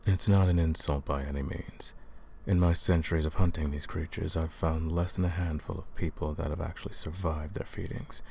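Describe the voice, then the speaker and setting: Guy doing a super deep centuries old vampire hunter, stern, bossy, deliberate, interrogating you in an audio roleplay. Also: fairly even intonation, gravelly, a little mellow, a little hiss or hum. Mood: suspicious, intrigued. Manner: commanding, deliberate, questioning. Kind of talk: roleplay audio drama addressed to the listener.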